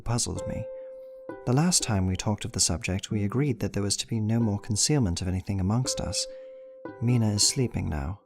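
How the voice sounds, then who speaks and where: A man's voice: lowish voice, close to an everyday voice. An actor playing a troubled, devoted young husband, narrating his diary solemnly in a radio play. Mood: tender. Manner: solemn, brooding, reflective.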